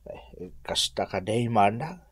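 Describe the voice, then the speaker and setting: A sheepish village drunkard in a radio drama, with a Filipino accent. He drags his slurred words slowly, grunting and hesitating, his pitch swinging up and down. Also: expressive, breathy edge, dry recording, studio quality, full character voice. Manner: halting, full of grunts and hesitations. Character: Mang Tokyo, hard-drinking, sheepish village man. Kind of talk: Ilocano radio drama acting.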